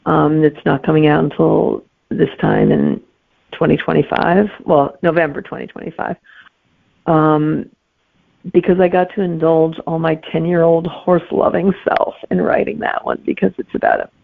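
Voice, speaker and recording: A woman's voice: sits low, steady tone, moderate pace, gravelly. A middle-aged woman, a guest author chatting casually in an interview over a narrow phone-like connection. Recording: tinny narrow phone-like line.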